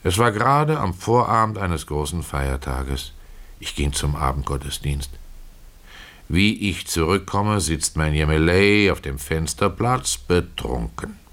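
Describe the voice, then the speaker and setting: Deep-voiced humble old-world tailor. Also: male voice, lively intonation, measured pace, a bit bright, exaggerated voice. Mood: pitying. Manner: folksy, confiding, ruminative. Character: humble poor Russian tailor, plain-spoken, pious, retelling his regrets. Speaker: narrator of a literary radio play. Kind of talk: dramatic first-person storytelling.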